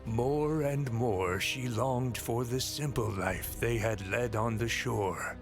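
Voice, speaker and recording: A solemn male storyteller for a game's storybook legend. He takes his time over every phrase at a middling pitch, with music clearly underneath. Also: takes it slowly. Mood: wistful. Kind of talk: scripted storybook narration in a game.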